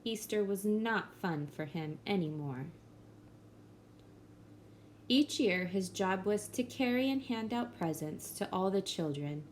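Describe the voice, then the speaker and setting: A woman reading a children's picture book aloud in a gentle storybook manner. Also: medium pitch, expressive, slow pace, a little hiss or hum.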